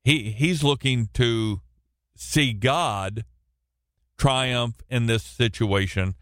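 A man teaching through a Bible passage on the radio, with a loud, projecting voice and a halting delivery full of restarts and repeated words. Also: medium pitch, no echo, studio quality. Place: radio studio, alone.

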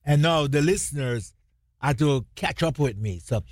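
A man's voice: on the high side, animated, dry recording, studio quality. Emphatic Jamaican-accented male pastor. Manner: emphatic, rhetorical questions, drawn-out stresses, laughs easily. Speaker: pastor and radio co-host. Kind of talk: preaching on a radio ministry show.

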